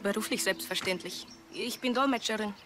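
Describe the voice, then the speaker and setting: An actress playing an earnest young Russian student interpreter, with a Russian accent and halting non-native phrasing. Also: female voice, medium pitch, noisy background, natural voice. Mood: earnest, businesslike. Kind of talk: TV crime comedy acting.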